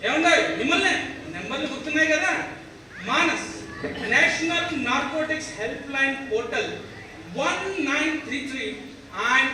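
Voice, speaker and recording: A man's voice: very high voice, moderate pace. A man acting as a chatty TV awareness show presenter in a stage play, emphatic and teasing, putting rhetorical questions to viewers. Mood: bright, emphatic. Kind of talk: theatrical scripted presentation in a one-act play. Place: echoey hall, voice picked up from afar. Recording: very echoey, distant mic, noisy hall.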